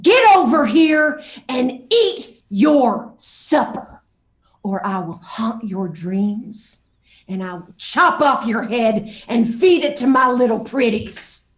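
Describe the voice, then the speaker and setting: Actress as a threatening, scolding horror-game mother, loud and slow, foul-mouthed, roomy echo. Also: on the high side, very animated. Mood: menacing scolding.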